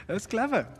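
Smug warlord villain in a cavernous hall. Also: male voice, high voice, darkish tone, breathy edge, full character voice. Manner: taunting, theatrical, measured. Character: smug, menacing male warlord villain, middle-aged. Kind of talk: dramatic video game cutscene acting. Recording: long hall reverb under music.

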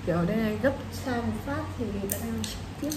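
A woman's voice: fairly high pitch, quick pace. Young woman, echoey noisy room. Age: young adult. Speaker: coloring video host. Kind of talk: casual chat. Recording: echoey room with clear ambient noise.

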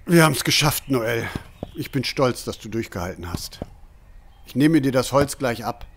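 A man's voice: mid-range voice, expressive, moderate pace, natural voice. Man as a hearty woodcutter dad, faintly noisy recording. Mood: proud, teasing. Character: proud, gruff woodcutter father, hearty and teasing.

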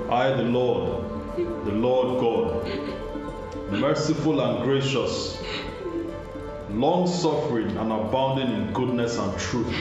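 A man in a radio drama voicing God as a patient, majestic, loving father, speaking solemnly and deliberately. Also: mid-range voice, lightly acted. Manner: slow, solemn, deliberate, with rhetorical questions. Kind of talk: radio drama acting, solemn scripture-like speech. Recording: heavily echoing, muffled, music underneath.

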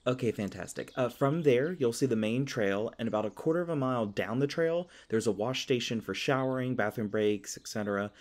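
A man's voice: mid-range voice, a little mellow, close to an everyday voice. A man playing a polite, hesitant, folksy elf park ranger in an audio roleplay. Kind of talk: ASMR audio roleplay acting.

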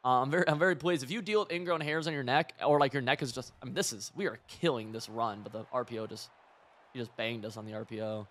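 A hoarse young man talks through a football video game as he plays, hopping from tactics to chatty personal tangents. His voice sits at an ordinary pitch, and he gets loud when a play goes well or badly. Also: quick pace. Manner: rambling, excitable, swings between coaching and side stories. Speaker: gaming video creator. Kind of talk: live gameplay commentary.

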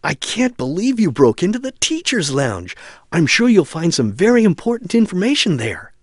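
An actor playing a helpful, concerned friend giving earnest hints in an adventure game. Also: male voice, high voice, big pitch swings, normal speed, dry recording, clean studio sound. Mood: earnest, advising. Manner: earnest, advising. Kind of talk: adventure game hint dialogue.

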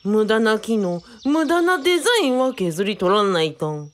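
A woman's voice: medium pitch, lively intonation, moderate pace, slight background noise, close to an everyday voice. A woman playing a boastful male cult leader in a radio drama, chatty, self-important and teasing. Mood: breezy, boastful. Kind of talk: hobby radio drama dialogue.

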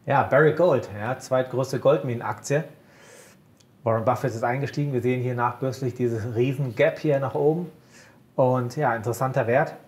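A man co-hosting a casual market chat, calmly walking through stock charts point by point. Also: medium pitch, moderate pace. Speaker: co-host of a broker's market show.

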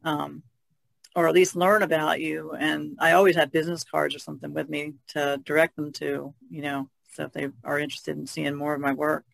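Female podcast co-host, casual, gravelly low register, dry close recording. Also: little pitch movement, normal speed, no echo.

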